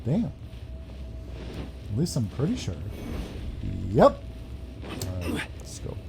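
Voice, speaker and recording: A man streams a game with offhand, playful commentary. His pitch swings very widely, his pace is unhurried, and game music plays behind him. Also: huge pitch swings. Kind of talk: casual gameplay commentary.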